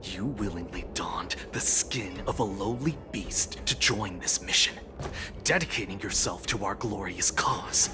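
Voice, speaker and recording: A man's voice: low-pitched, a little slow, over music. A man playing an irritable, harassed villainous conspirator in a video game. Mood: scolding.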